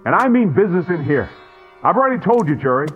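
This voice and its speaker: Casting: muffled high-pitched man, stubborn and stern, animated radio drama delivery. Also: fast, soft background music. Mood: firm, angry. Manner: stern, unyielding. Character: stubborn man refusing to open his shelter door.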